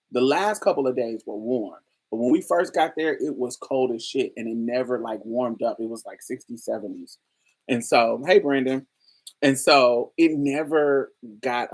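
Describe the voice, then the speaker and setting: A woman hosting a casual chat, telling stories in a lively way with frequent laughter and free swearing. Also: very deep voice, breathy edge. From New Orleans, USA. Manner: animated storytelling, frequent laughter, swears freely. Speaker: host. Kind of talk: casual livestream chat with viewers.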